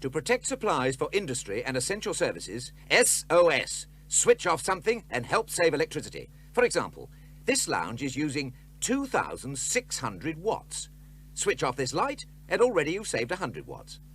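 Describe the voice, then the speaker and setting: A man's voice: fairly high pitch, huge pitch swings, moderate pace, fairly bright tone. A man reading a scripted public information appeal, instructive and emphatic. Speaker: public information film announcer. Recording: old film soundtrack, faint hum.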